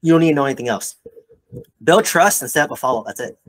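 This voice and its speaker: A man's voice: mid-range voice, expressive, fast talker, fairly bright tone. A man working as a mentor, talking casually in a one-on-one coaching call and often checking in with "right?". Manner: conversational, peppers talk with "like" and "right?". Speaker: real estate mentor and coach.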